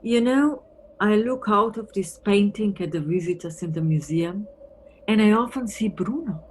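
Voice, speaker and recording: A woman's voice: medium pitch, normal speed, lightly acted. Woman acting wry, wistful detective, audible background noise. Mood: guarded, musing. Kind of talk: audio play acting. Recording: noisy recording with background ambience.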